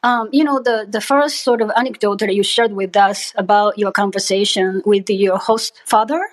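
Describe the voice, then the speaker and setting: A woman from Japan with a Japanese accent, interviewing a guest in a halting manner with many fillers. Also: medium pitch, steady tone. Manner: halting, heavy on "you know" fillers. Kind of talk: casual interview questions.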